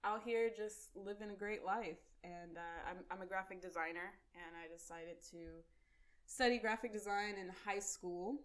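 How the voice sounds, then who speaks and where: A woman's voice: medium pitch, normal speed. A woman from Chicago, a guest on a casual podcast, bubbly and giggly, talking with many ums. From Chicago, USA.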